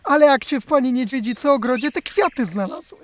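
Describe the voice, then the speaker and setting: Gallant brave hare. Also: female voice, sits high, animated, quick pace, background noise. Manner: courtly, theatrical. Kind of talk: children's radio play acting.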